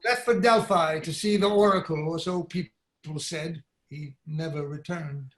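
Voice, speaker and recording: An actor playing a grave, urgent royal envoy in a dramatic reading of Greek tragedy, measured and insistent, recorded over a video call. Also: male voice, fairly high pitch, natural voice. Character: grave, urgent Theban royal envoy bringing an oracle's command. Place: indoor room on a video call. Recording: roomy, echoing video-call audio.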